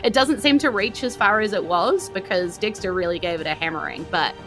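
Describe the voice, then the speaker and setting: A woman's voice: medium pitch, brisk. A woman with a New Zealand accent casually narrating a tabletop game as game master. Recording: background music under speech. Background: music.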